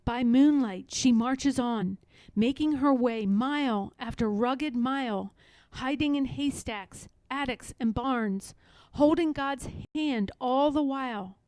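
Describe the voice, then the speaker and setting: A woman reading a children's storybook aloud in a measured, expressive, deliberate storytelling style. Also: sits high, no echo. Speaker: storybook reader. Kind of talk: expressive scripted read-aloud narration.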